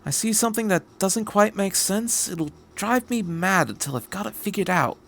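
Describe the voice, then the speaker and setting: A man voicing a quirky, cheerful, curious time-traveling adventurer in a story reading, with a brisk, rambling delivery. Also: high-pitched, lively intonation, gravelly, fairly bright tone, pitched above the performer's own voice, exaggerated voice. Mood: curious, probing. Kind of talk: audiobook-style story reading.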